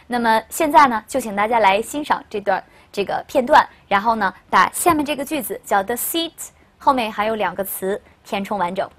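A woman's voice: fairly high pitch, lively intonation, fairly quick, a bit bright. A young woman teacher presenting a lesson to camera, explaining to learners and stressing key phrases. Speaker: English teacher. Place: indoor studio.

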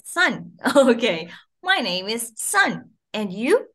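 A young woman from the Philippines teaches a child over a video call. She speaks slowly and deliberately, her fairly high voice swooping up and down as she models each phrase. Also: sing-song, fairly bright tone. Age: 20s. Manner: slow, sing-song modelling of phrases for a young learner. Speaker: online English teacher.

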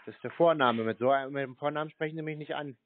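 A man answering calls in a terse, deadpan, stonewalling way, with phone-band sound. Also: fairly high pitch, racing, phone-quality sound. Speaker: prank call recipient.

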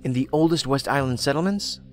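A man's voice: mid-range voice, brisk, slight background noise, close to an everyday voice. A man playing a combative, sardonic federal investigator in a science fiction audio drama, with a dry, needling manner. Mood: probing.

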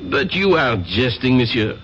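An actor playing a sceptical French police inspector in a radio drama, speaking formal, halting English with a French accent. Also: male voice, mid-range voice, sing-song, a bit bright. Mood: protesting, firm. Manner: halting, searching for English words. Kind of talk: 1970s radio drama acting. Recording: dull, noisy old radio recording.

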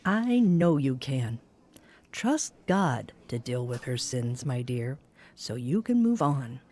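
Motherly older mentor, gentle, husky mellow voice, very expressive intonation, radio drama. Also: extremely low pitch, slow, full character voice. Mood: gentle, encouraging. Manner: gentle, nurturing, counselling. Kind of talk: audio drama dialogue.

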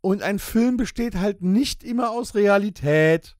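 Male podcast host, high voice, emphatic blunt ranter, very dry close sound. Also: measured pace, dry recording. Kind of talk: casual banter.

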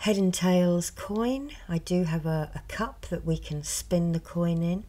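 A middle-aged woman, a reiki healer, speaking softly and slowly in a partly whispered show and tell, a little hoarse from a cold. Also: on the low side, slight background noise. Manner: slow, soft, partly whispered. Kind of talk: soft-spoken ASMR show and tell. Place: indoors at a table. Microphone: close mic over a tabletop.

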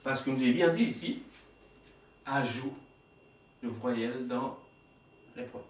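Emphatic preacher in an echoey room. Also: male voice, medium pitch, a little slow, far from the mic, slight background noise. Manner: emphatic, gesturing, rhetorical questions, stumbles and self-corrects. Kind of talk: sermon reading and explaining texts. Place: small indoor worship room.

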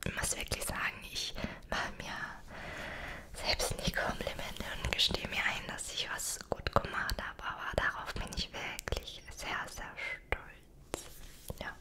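A woman in her late twenties speaks in a soft, breathy whisper, chatting personally to viewers in a gentle, hesitant ASMR style, close to the microphones. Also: measured pace. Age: late 20s. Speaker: ASMR creator. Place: small indoor setup with dark backdrop. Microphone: two close microphones either side.

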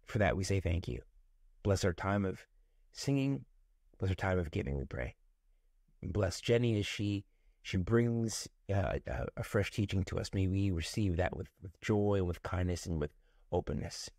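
Forties male pastor at home on webcam; gravelly, dry, gentle devotional read. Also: sits low, lively intonation, no echo. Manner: gentle, unhurried, warmly reflective. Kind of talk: prayerful devotional talk. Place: home room with ceiling fan. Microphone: webcam close up, looking up from below.

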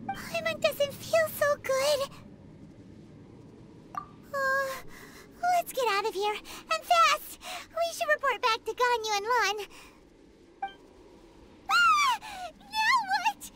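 A woman voicing a tiny, childlike, excitable and easily frightened fairy companion in a video game, squeaky and exclamatory. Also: takes it slowly, long pauses, a little hiss or hum, heavily acted. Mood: alarmed, uneasy. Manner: speaks of self in third person, exclamatory.

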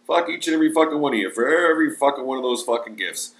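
A man's voice: mid-range voice, lively intonation, rapid-fire, fairly bright tone. A man hosting a livestream, grumbling and ranting crudely with constant cursing. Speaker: livestream host. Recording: roomy echo with faint music.

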